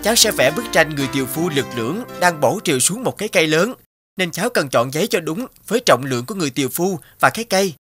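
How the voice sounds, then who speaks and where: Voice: mid-range voice, animated, fast talker, fairly bright tone, lightly acted. An actor voicing a proud young male painter in a cartoon dub, polite but boastful. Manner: polite, self-satisfied. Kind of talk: cartoon dubbing. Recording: music behind the voice.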